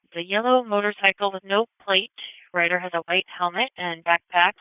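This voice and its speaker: A woman's voice: mid-range voice, fairly quick, clear, resonant tone, no echo. A woman working as an emergency dispatcher, reading out procedural details in a clipped, methodical way over police radio. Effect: police radio transmission. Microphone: radio dispatch microphone. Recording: narrow-band police scanner radio.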